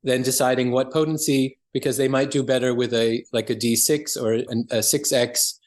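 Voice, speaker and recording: A man with a medium-pitched voice talks as an interview guest. He explains in an earnest, conversational way, like a practitioner sharing his field. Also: fairly even intonation, moderate pace, a little mellow.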